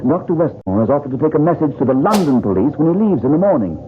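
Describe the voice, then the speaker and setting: An earnest, protective gentleman, played by a male actor in an old radio drama. He speaks formally with lively, exasperated intonation. The narrow, tinny recording has faint noise. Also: on the high side, fast, close to an everyday voice. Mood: measured.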